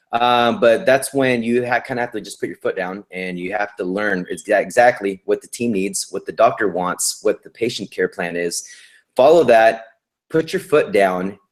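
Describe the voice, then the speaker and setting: A man's voice: fairly low pitch, low-quality recording. A male nurse being interviewed, talking in an upbeat, slangy and encouraging way. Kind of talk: casual interview chat.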